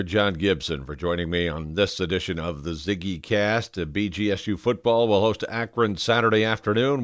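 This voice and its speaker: A man's voice: fairly low pitch, no echo, studio quality. A man hosting a sports podcast, interviewing and announcing in a polished, orderly broadcaster's way.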